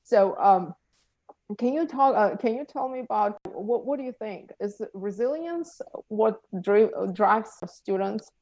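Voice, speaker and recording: A woman interviewer whose first language is not English, asking questions haltingly with many fillers and restarts. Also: mid-range voice, animated, a little mellow, no room sound, dull audio. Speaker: interviewer, doctoral student. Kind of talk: academic interview.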